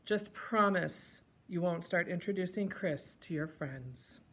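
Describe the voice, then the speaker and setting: A woman's voice: on the low side, a little slow. A woman reading letters aloud as a performer, in a dramatic, comic stage style.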